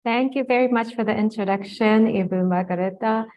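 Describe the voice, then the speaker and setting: A woman's voice: fairly high pitch, fairly quick, darkish tone, lo-fi sound. A woman from Japan, a native Japanese speaker with a Japanese accent, giving an online guest lecture in a conversational, explanatory way. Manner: conversational, explanatory, some restarts.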